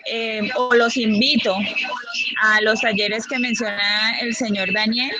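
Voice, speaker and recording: A woman hosting a talk, making announcements in a polite, halting way. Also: fairly high pitch, steady tone, very noisy. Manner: polite, formal address, halting. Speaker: event host. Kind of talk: informal event hosting, announcements.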